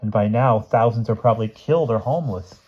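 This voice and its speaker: A man's voice: on the low side, fairly quick, darkish tone, slightly rough, muffled recording, voice pushed up. A man voicing a bossy, worried boy in a storybook, with urgent, grandly dramatic phrasing. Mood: gloomy, dramatic.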